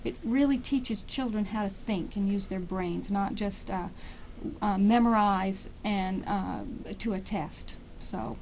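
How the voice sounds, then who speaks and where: A middle-aged woman with a mid-range voice gives a radio interview as a school representative. She explains in a conversational, slightly halting way, with faint background noise around her. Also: mid-range voice, darkish tone, poor audio.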